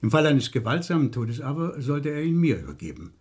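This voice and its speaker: An actor playing a shrewd, dryly needling police inspector in a vintage radio crime play, deliberate and falsely casual. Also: male voice, mid-range voice, very animated, darkish tone, husky. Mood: measured, grave.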